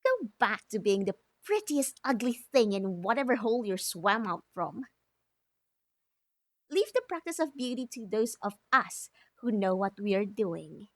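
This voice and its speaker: A woman's voice: medium pitch, sing-song, no room sound. A woman voicing a haughty, cruel mermaid with a sneering, condescending manner for a visual novel dub. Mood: haughty mockery. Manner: sneering, condescending, drawn-out taunting. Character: haughty, cruel mocking mermaid who prides herself on beauty.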